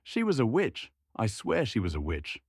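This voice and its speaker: A man's voice: medium pitch, huge pitch swings, normal speed, creaky, husky, dry recording, clean studio sound, pitched above the performer's own voice, heavily acted. A man voicing a tipsy, stubborn, cheerful Cossack villager in a folk tale, insistent and emphatic. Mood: adamant.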